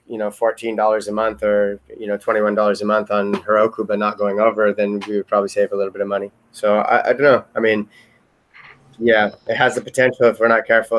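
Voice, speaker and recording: A man's voice: fairly low pitch, poor audio. A man chatting casually in a remote meeting, talking in a hesitant, rambling, hedging way. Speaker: software team member in a retrospective meeting.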